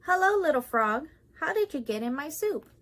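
A woman's voice: high-pitched, very animated, moderate pace, a bit bright, a little hiss or hum, voice pushed up. A woman voicing an exasperated, panicky male restaurant diner in a children's storybook, exclaiming and pleading urgently. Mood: indignant, puzzled.

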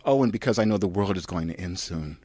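A man's voice: low voice, animated, fast talker, a little mellow, dry recording. A man voicing a bratty, depressed young actress with whiny valley-girl talk in a comic script reading. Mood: sulky.

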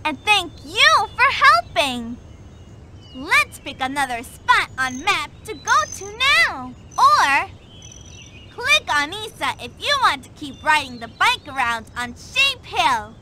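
A woman's voice: high-pitched, sing-song, slow, very noisy, heavily acted. A woman voicing a cheerful young girl explorer who guides the player through a children's game with encouraging instructions.

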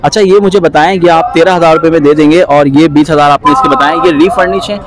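A man hosting a walking vlog at an outdoor street market, cheerfully pitching furniture and repeating prices back to the viewer. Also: steady tone, fast talker, music in the background, low-quality recording. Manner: upbeat promotional patter, repeats prices back. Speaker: vlog host. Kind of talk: walking market tour, bargaining with sellers.

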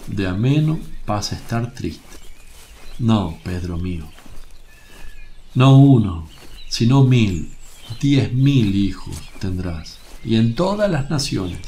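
A man plays a serene master teacher in a religious radio play. His voice is very mellow and slightly low, with affectionate, gentle authority. Birdsong and some noise sit behind it. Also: animated, takes it slowly. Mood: tender, solemn. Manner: gentle, solemn, reassuring. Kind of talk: religious radio drama acting. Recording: noisy, birdsong ambience behind.

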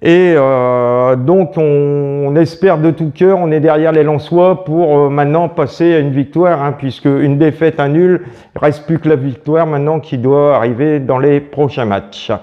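A man's voice: on the high side, fairly even intonation, measured pace, dull audio. Hesitant man presenting local news. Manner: hesitant, many fillers. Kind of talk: amateur local news and market interview.

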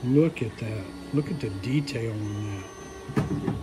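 A man's voice: on the low side, takes it slowly, noisy background. A man giving a casual, rambling, appreciative walk-through commentary as a vlog host. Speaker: host filming a shop tour. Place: inside a busy hobby shop. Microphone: handheld phone. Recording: busy shop chatter behind.

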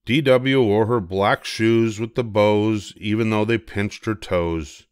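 A man's voice: on the low side, fairly even intonation, slow, smooth, no echo, studio quality. An older man narrating a children's picture book expressively. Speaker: storybook reader.

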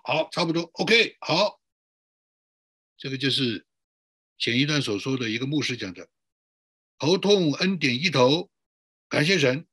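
A man preaches as a pastor, his voice fairly high and bright with a husky edge. He is emphatic, stacking short repeated phrases and questions as he teaches. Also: dry recording, clean studio sound. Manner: emphatic, repetitive listing. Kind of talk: sermon, teaching with rhetorical questions.

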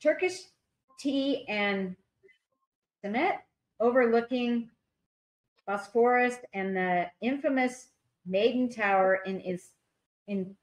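A woman's voice: a little high, slow, pauses often, rough recording. A woman on a video call reading artwork descriptions aloud at a public meeting, stumbling over unfamiliar names. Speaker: public arts commissioner.

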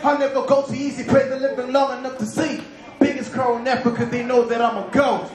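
Young male rapper, high flat delivery, rapid rhymes; booming echo and loud music behind. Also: a crowd in the background. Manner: rapid, rhythmic, half-sung delivery. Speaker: rapper performing. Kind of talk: rap verses on stage. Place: large indoor store event with a crowd. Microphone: handheld mic.

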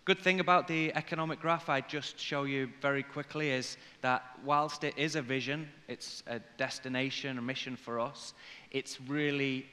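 A man in his forties from Liverpool with an English accent, giving a conference presentation in measured phrases. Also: mid-range voice, steady tone, sharp tone. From Liverpool, England. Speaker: corporate conference speaker. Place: large indoor conference hall, on stage. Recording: reverberant hall sound from stage.

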